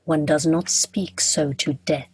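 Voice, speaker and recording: Casting: solemn Grim Reaper played by a woman, lowish and very husky, stiff formal diction, literal and reserved, for radio drama. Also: steady tone, a little slow, heavily acted. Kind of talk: radio play acting.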